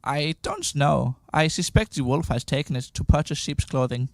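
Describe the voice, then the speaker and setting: A man's voice: a little high, normal speed, creaky, dry recording. A man acting a fussy, earnest baby-faced pig in a comic radio play. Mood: earnest, chatty. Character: fussy baby-faced pig, bereaved brother, storybook character.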